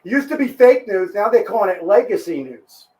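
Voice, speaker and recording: A man's voice: sits high, sing-song, normal speed, warm tone, rough recording. A man hosting a show, chatting casually and eagerly cutting in over his guest. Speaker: show host. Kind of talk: casual political chat.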